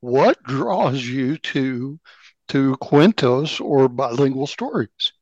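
A man's voice: medium pitch, expressive, takes it slowly, darkish tone, no echo, muffled recording. Chatty male podcast host, dry sound. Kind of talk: casual interview chat.

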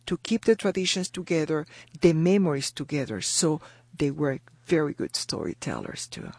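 A woman's voice: deep voice, big pitch swings, normal speed, vocal fry, a little mellow, slightly rough, no room sound. Middle-aged woman, reflective radio guest, dry close mic. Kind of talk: interview storytelling.

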